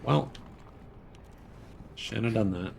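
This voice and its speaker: A man's voice: on the low side, takes it slowly, gravelly, noisy background. A man doing live gameplay commentary as a streamer, in a wry, sardonic manner. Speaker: game streamer. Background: video game sound.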